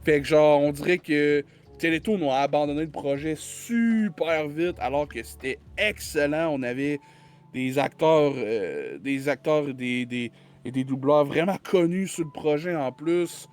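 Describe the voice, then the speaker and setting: A man's voice: fairly high pitch, lively intonation, unhurried, a little mellow, faint music behind. A man with a Quebec French accent, a podcast guest chatting casually and rambling through many restarts. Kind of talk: casual conversational chat.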